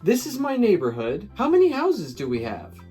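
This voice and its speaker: A woman's voice: medium pitch, wildly swooping pitch, normal speed, dark tone, husky, soft background music. A woman voicing an eager, imaginative young boy dinosaur in a children's storybook, with a dramatic, exaggerated delivery. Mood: scheming, curious. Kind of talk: children's storybook character reading.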